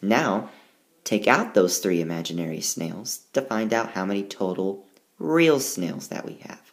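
A male teacher recorded over a tablet screencast, reading rhymes aloud in a slow, unhurried way. His pitch swings widely as he reads, then settles as he explains the counting. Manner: slow, sing-song rhyming read-aloud with lively pitch. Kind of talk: reading a picture book aloud and explaining math.

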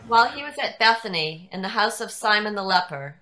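A woman reads scripture as narrator, deliberate and measured. Her voice is bright and forceful, at a middle pitch. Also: animated, poor audio. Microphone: video call.

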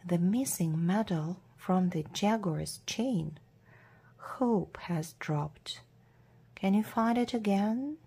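A woman reading a children's adventure storybook aloud as a narrator, in a measured, deliberate way with hushed word endings. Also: medium pitch, creaky, slightly rough. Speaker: storybook reader.

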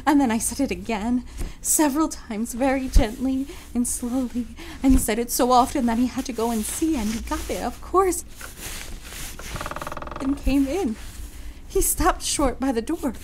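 A woman's voice: high-pitched, a little slow, a little mellow, a little hiss or hum, low-quality recording. A woman playing an unhinged, obsessive woman confined to a room, speaking a confiding, theatrical monologue in an audio drama. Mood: eerily gentle.

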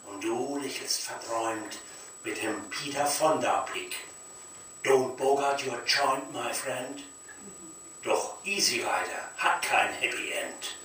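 Sharp-voiced man in a very echoey hall. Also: medium pitch. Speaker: poetry slam performer. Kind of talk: live comic poetry performance. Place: dark cinema stage at a lectern. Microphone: distant camera in the audience. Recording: very echoey hall from far off, faint noise.

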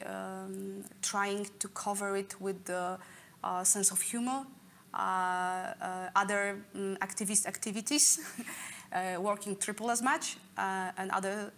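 Halting woman, faint background noise. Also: mid-range voice, gravelly, fairly bright tone. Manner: halting, searching for words, earnest. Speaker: speaker leading an online talk. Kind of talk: explanatory talk to an audience. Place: indoor room, office-like.

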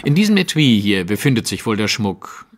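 An actor playing a courteous, self-assured detective with a formal, probing way of speaking, in a German audio drama. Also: male voice, on the low side, sing-song, fairly quick, in a large hall. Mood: confident, testing.

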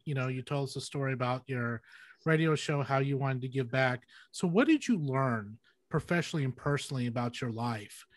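Male interviewer, middle-aged. Mid-range voice, conversational hosting, very little echo. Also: mid-range voice. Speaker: interview host. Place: indoor room, video call. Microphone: headphones on video call.

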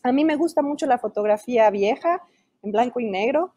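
A woman's voice: a little high, a little fast, no echo, clean studio sound. A woman in her thirties from Mexico with a Mexican Spanish accent, an illustrator talking animatedly in a video call interview over an earphone mic. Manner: animated, gestures while talking. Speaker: illustrator and children's author. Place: indoor room at home. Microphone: earphone headset mic on a video call.

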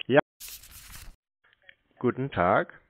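A man's voice: mid-range voice, lively intonation, drawn-out words. A man in a phone conversation, answering curtly in a contrarian, provocative way. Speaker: prank caller baiting phone scammers. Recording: thin tinny phone line.

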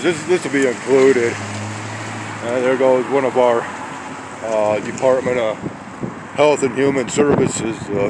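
A man talking casually while he walks small-town streets outdoors in the snow, with rambling, folksy exclamations into a handheld phone. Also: mid-range voice. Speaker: vlogger on a weather walk. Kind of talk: casual walking commentary. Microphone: handheld phone camera. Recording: wind noise on the mic.

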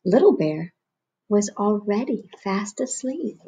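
A woman in her forties reads a picture book aloud to children. Her voice is mid-pitched and a little husky. She narrates expressively, as a storyteller. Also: very animated, slow pace, warm tone. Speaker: read-aloud host, storyteller. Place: small room at home, on a couch.

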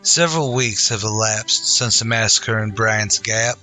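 Bright male old-time radio narrator. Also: fairly low pitch, measured pace, faint music behind.

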